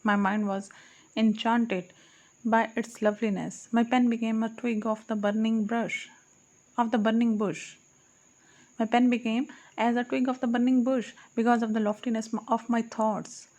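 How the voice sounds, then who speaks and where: Female audiobook narrator, higher-pitched, solemn recital of archaic verse with self-corrections. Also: level tone, normal speed, a little mellow. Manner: solemn recitation, correcting her own slips. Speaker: audiobook reader. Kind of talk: reading archaic poetry aloud.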